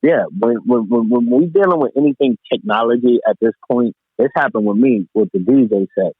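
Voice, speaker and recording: A man's voice: mid-range voice, a little fast, slightly rough, no room sound. A man talking casually about music as a podcast guest, repeating phrases for emphasis, over a tinny connection. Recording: tinny, phone-like radio sound.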